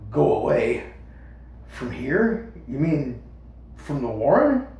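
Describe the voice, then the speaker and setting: A man voicing a level-headed young male rabbit who talks in a calm, reasonable way. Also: mid-range voice, slow. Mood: doubtful, earnest. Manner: calm, reasonable, a little doubtful. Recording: echoey room, far from mic.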